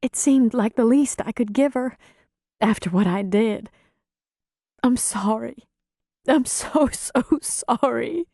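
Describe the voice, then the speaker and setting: Woman acting a high, quavering, distraught country grandmother. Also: dark tone, no echo, studio quality. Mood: tearful, pleading. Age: middle-aged. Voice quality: quavering, wailing voice. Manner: pleading, halting, folksy plain speech. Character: distraught rural grandmother, weary, pleading new mother. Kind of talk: audiobook character dialogue.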